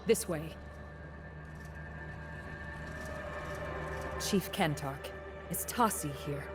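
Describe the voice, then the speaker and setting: A woman's voice: low-pitched, unhurried. A woman voicing a loyal, steadfast barbarian tribeswoman in a fantasy game, earnest, direct and dignified. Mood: urgent. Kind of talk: fantasy video game acting. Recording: loud music under the voice.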